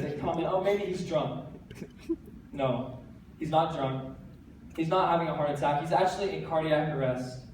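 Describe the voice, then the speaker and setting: Teenage man, American accent, earnest stage talk, somewhat high voice, very echoey hall. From United States. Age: teens. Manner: earnest, energetic, with light self-deprecating jokes. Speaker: TEDx speaker. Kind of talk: stage talk to a live audience. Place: large hall. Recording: very echoey hall, voice from a distance, faint background noise.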